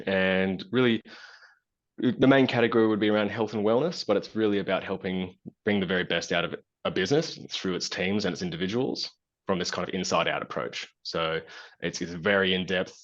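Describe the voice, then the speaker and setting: Low-voiced man on a video call. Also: fairly bright tone, highs cut off. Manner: thoughtful, many fillers and restarts. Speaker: guest, business founder. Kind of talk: relaxed conversational interview. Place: small plain room indoors.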